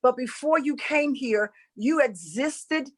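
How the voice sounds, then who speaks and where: A woman's voice: high-pitched, expressive, takes it slowly. A woman from North Carolina with a Southern accent, teaching about spirituality casually and earnestly, repeating phrases for emphasis. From North Carolina, USA.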